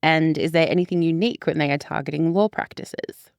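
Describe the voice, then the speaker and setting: A woman hosting a podcast, asking prepared interview questions in a measured, composed way. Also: low voice, fairly even intonation, creaky, clean tone, dry recording, clean studio sound. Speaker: podcast host.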